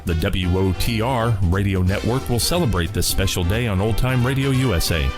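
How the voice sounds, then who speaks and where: Low-voiced male radio host over music. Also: moderate pace. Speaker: host of old-time radio rewind show. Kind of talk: scripted radio presenting. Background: guitar music.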